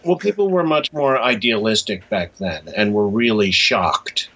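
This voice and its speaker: Through a slightly dull, lo-fi recording, a man with an American accent speaks as an interview guest. His voice is low and has a forceful edge. He explains casually, with halting, thoughtful phrasing. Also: lively intonation.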